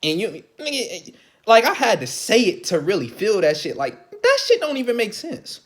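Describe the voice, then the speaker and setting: A man ranting casually to the camera, swearing often, repeating himself and rising to loud, excited outbursts. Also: sits high, normal speed. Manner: calls his own voice light. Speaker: vlogger.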